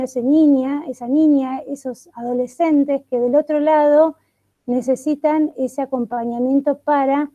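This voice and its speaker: A woman leading an online catechesis workshop over a video call, speaking reflectively and posing one question after another with thoughtful pauses. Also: sits high, mellow, highs cut off.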